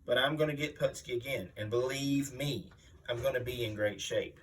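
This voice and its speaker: A man's voice: medium pitch, normal speed, a little hiss or hum, lightly acted. Man playing a boastful, mean wrestler, far from mic. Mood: grudging, defiant. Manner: boastful, blustering, aggrieved. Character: boastful, mean veteran pro wrestler villain, male, older. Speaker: reader voicing an interviewed wrestler. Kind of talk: dramatic magazine interview reading, wrestling promo.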